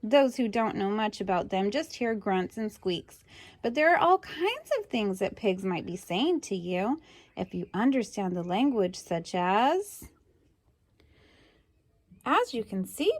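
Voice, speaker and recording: A woman's voice: medium pitch, very animated, takes it slowly, darkish tone, dry recording. A woman reading a children's book aloud expressively, adding chatty asides to young listeners. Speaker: storybook reader.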